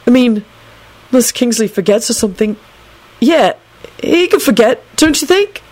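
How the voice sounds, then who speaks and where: Woman putting on an excitable, high-pitched, exasperated young man. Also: normal speed, dry recording. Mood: panicked. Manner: whiny, indignant, theatrical complaining.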